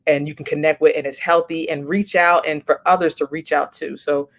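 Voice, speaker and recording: A woman's voice: low-pitched, fairly even intonation, a little fast. Woman host, chatty and encouraging, talks through her thoughts, casual livestream wrap-up. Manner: chatty, encouraging, thinks aloud.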